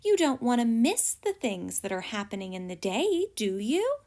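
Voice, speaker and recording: A woman voicing a kindly, wise little male ant who gently explains and reassures in a children's storybook. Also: fairly high pitch, sing-song, normal speed. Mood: reassuring. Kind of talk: children's storybook read aloud.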